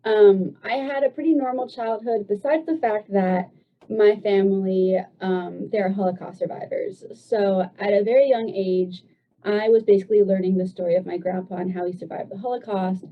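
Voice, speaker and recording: A woman's voice: mid-range voice, normal speed. Woman, 20s, from the US, loose chatty guest storyteller, dull lo-fi sound. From United States. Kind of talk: casual personal storytelling.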